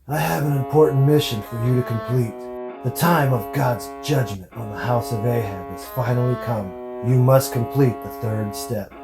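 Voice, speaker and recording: A man plays a grave, commanding old prophet who gives orders slowly and with weight. His voice sits at an ordinary middle pitch, and loud music plays underneath. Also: natural voice. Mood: solemn. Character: aged prophet Elisha, solemn and commanding. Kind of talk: audio drama acting.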